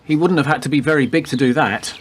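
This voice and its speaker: A man playing a laconic, deadpan police detective with a macabre wit in a radio play. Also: on the high side, fast, a little hiss or hum, natural voice. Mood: wry, matter-of-fact. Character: wisecracking male police detective with macabre humour. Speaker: actor in a community radio play. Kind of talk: radio drama dialogue.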